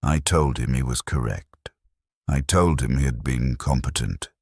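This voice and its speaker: A man narrating a somber first-person war story in a grave, measured way, with short clipped declarative sentences. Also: rumbling low, slightly rough, dry recording, clean studio sound. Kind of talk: scripted dramatic narration, somber military fiction.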